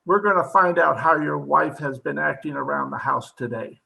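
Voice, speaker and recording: An actor playing a self-important psychiatrist in old-time radio comedy, formal and hammy, with halting delivery. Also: male voice, fairly high pitch, vocal fry, sharp tone, a little hiss or hum, full character voice, low-quality recording. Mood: flustered, officious.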